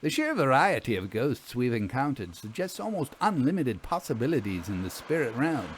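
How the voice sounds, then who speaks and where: A man voicing an assured, brisk woman investigator in a story, with formal, articulate and inquisitive speech. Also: medium pitch, sing-song, slightly rough. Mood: brisk, probing. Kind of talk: audio storytelling, acted dialogue.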